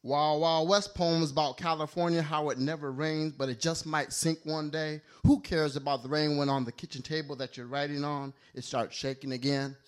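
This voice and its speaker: A man's voice: fairly high pitch, flat delivery, moderate pace, hardly any pauses, clear, resonant tone. Playful rhyming male slam poet. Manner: playful, rhyming, list-driven delivery. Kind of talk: performed slam poetry. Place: live poetry slam venue.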